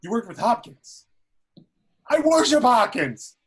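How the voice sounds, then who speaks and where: A man's voice: very high-pitched, big pitch swings, a little slow, heavily acted. A man playing a timid, anxious actor in a table read, nervous and wavering in his delivery. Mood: awestruck. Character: timid, anxious, starstruck male actor at ship controls.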